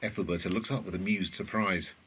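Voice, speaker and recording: A man's voice: deep voice, fairly even intonation, a little fast, fairly bright tone, husky. A man reading scripted narration for an audio drama. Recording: faint ambient background noise.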